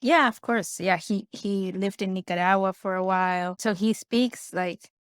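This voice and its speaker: A woman from Peru in her thirties, a podcast guest talking in a relaxed way full of "like" fillers. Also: medium pitch, unhurried, no room sound, studio quality. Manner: chatty, with frequent "like" fillers. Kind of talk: conversational interview.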